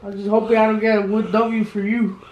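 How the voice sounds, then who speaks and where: A man's voice: high-pitched, flat delivery, fairly quick, muffled recording. A young man playing a video game in a bedroom, swearing and distracted as he talks. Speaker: gamer cousin. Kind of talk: casual chat while gaming. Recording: echoey room, faint background noise.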